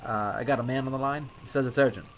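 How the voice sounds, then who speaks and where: An actor playing a casual desk policeman in an old-time radio drama, booming and informal. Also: fairly even intonation, quick pace, natural voice. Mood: dutiful, informal. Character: loud, deep-voiced, casual male desk policeman.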